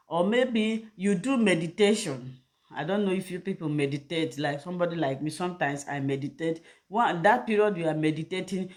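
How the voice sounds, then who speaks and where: A middle-aged woman from Nigeria with a Nigerian accent, whose first language is Igbo, giving health advice in a loose, repetitive live chat and speaking straight to her viewers. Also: fairly low pitch. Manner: rambling, repeats phrases, direct appeals to viewers. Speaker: health channel host. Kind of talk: casual live video talk.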